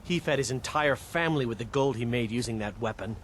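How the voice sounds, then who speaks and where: An actor playing a proud, guarded wandering warrior in a video game, speaking in a measured, plain way. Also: male voice, mid-range voice, slight background noise, close to an everyday voice. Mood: guarded, earnest. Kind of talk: video game character dialogue.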